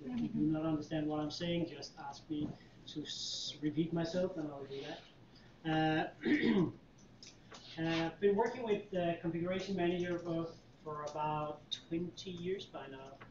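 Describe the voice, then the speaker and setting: A man's voice: on the high side, measured pace, background noise. Danish man presenting in an echoey room. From Denmark. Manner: relaxed, chatty, many fillers and restarts, laughs with the audience. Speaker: conference presenter, consultant. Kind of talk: user group tech presentation. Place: meeting room. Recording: echoey room, distant mic, dull lo-fi sound.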